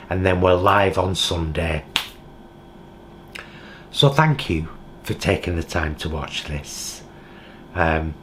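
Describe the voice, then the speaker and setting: Deep-voiced British man, slow and reflective. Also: fairly bright tone, a little hiss or hum. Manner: reflective, heartfelt. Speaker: vlogger. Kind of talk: casual vlog monologue. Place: home kitchen.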